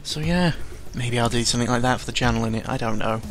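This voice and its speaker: Sarcastic, rambling young man over music. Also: mid-range voice. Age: young adult. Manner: rambling, halting, full of self-interruptions; sarcastic, self-deprecating, exasperated sighs and swearing. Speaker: YouTuber recording an audio log. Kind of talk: casual unscripted news commentary. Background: background music.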